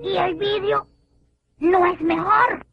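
A small, excitable cartoon child with an extremely high voice. The delivery is slow and childlike, full of questions and exclamations. The soundtrack is dull and lo-fi. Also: full character voice. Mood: curious. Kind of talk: animated educational cartoon dialogue. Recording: dull lo-fi old cartoon soundtrack, faint music.

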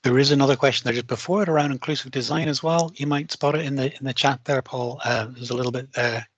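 A man's voice: medium pitch, fairly even intonation, fast talker, slightly rough, dry recording, dull audio. A man on a headset video call moderating a conference session, encouraging and chatty as he thanks the speaker and invites questions. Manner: warm, encouraging, rambling thanks. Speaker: conference host. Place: home office on video call.

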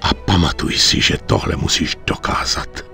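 A man playing a stern aging mafia boss in a video game, deliberate and commanding. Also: sharp tone, soft background music, lightly acted. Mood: grave, commanding. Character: stern aging male mafia boss giving grave orders. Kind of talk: dubbed video game cutscene acting.

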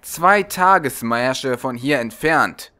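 A man's voice: mid-range voice, lively intonation, slow pace, bright, forceful tone. A man voicing a dutiful military lieutenant, formal and factual, in a fantasy audiobook. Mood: reporting. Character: dutiful military lieutenant giving a crisp report.

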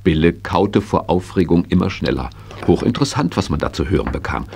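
Very deep, lively male narrator. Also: brisk, gravelly, a little hiss or hum. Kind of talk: scripted storytelling in a German children's radio play.

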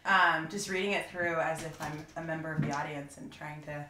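A woman who acts, talking in a measured, reflective way with pauses during a roundtable chat. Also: low-pitched, steady tone, bright, forceful tone. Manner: measured, thoughtful, pausing. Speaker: voice actress on a podcast panel. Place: room, group around a table. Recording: roomy, noisy raw recording.

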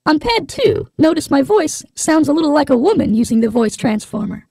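A man's voice: very animated, a little fast, creaky, darkish tone, no echo, studio quality, heavily acted. A man speaking through a voice transformer that shifts his voice to sound like a woman, demonstrating a sampler. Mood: plain. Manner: demonstrating a product. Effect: voice transformer pitched up.

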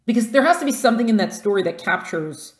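A woman's voice: fairly high pitch, expressive, fast talker. A woman hosting a podcast, asking questions in a casual, conversational style, recorded with a roomy echo. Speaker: podcast host interviewer. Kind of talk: casual interview chat. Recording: roomy, echoing sound.

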